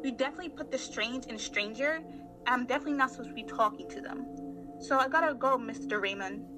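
A woman's voice: on the high side, quick pace, natural voice. A woman playing a suspicious, brusque eighteen-year-old girl in an amateur radio play, speaking curtly and sarcastically. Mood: brisk, dismissive. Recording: music under the voice.

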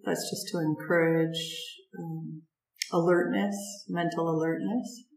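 A woman leading a guided meditation, giving gentle instructions in unhurried phrases with long pauses. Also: low-pitched, fairly even intonation, reverberant, poor audio.

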